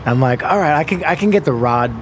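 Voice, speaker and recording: A man in his thirties, a musician, telling stories in a rambling, animated way in casual conversation, laughing often. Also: fairly high pitch, fast talker, background noise. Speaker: musician and podcast guest.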